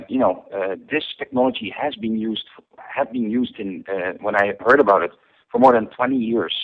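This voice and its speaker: A man from the Netherlands, a company founder giving an interview. He speaks in a measured way with many ums and uhs. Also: fairly low pitch. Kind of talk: interview over the phone. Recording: tinny phone line.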